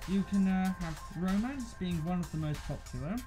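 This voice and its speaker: A man's voice: high voice, moderate pace, music in the background. A young man co-hosting a casual video discussion. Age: young adult. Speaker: co-host.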